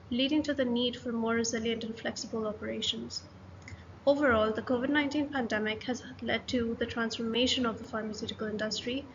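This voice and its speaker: A young woman, a student presenter, reading a scripted presentation in an even, list-like way over a laptop webcam in a small room. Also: a little high. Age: young adult. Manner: even, read-aloud delivery with list-like pacing. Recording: lo-fi, echoey room with hiss.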